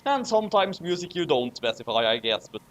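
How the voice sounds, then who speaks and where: A man's voice: on the high side, very animated, brisk, fairly bright tone. A man chatting casually as a co-host, with a dry, self-deprecating manner. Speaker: co-host and video editor. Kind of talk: casual chat.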